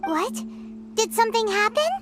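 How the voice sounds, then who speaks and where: A woman voicing a tiny, excitable fairy companion in a video game, squeaky, childlike and blunt, given to exclamations. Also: normal speed. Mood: surprised concern. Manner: exclamatory, speaks of self in third person. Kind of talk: animated video game voice acting. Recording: music behind the voice.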